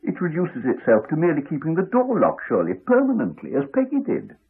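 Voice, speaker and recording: A muffled 1980s radio drama recording of a courteous but crotchety English doctor. His delivery is dry and formal, with lively rises and falls and a slightly husky tone. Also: a little high, full character voice. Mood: guarded. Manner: dry, formal, measured politeness. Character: crotchety, evasive, courteous male village doctor.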